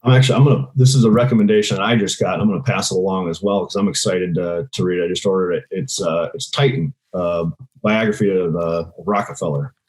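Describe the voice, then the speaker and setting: A man's voice: low voice, steady tone, brisk, darkish tone, rough recording. A man speaking as a podcast guest and real estate investor, answering conversationally.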